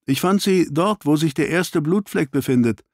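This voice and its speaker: A man's voice: fairly high pitch, normal speed, dry recording, clean studio sound, voice pushed up. A man reading an audiobook, voicing an earnest, bashful young police constable who reports a little hesitantly. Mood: earnest, tentative.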